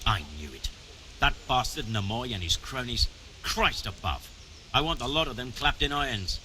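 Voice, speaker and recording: An actor playing a stern, middle-aged medieval mining chief in a video game, brusque and commanding, with formal wording. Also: male voice, mid-range voice, expressive, normal speed, background noise, natural voice. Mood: angry. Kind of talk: scripted video game dialogue. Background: music.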